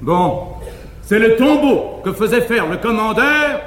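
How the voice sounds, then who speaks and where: High-voiced cowardly comic valet. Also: male voice, expressive, slow, a bit bright, full character voice. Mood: timid, cautioning. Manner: fearful, excusing, comic. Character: cowardly, familiar valet to a nobleman, comic and timid. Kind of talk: 1950s French classical stage comedy acting. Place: large theatre stage before an audience. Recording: echoey 1950s live theatre stage recording.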